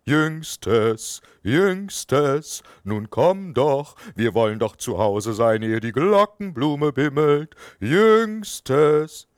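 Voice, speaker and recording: A man voicing an earthworm father who calls his children loudly with drawn-out words. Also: fairly high pitch, animated, smooth, no room sound, studio quality. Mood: calling. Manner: loud calling, drawn out.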